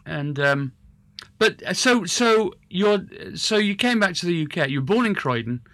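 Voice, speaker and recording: A man interviewing a guest in a relaxed, rambling way, full of hesitations and restarts. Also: high voice, moderate pace, no echo. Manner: rambling, hesitant, self-correcting. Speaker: interviewer and musician. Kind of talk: casual conversational interview.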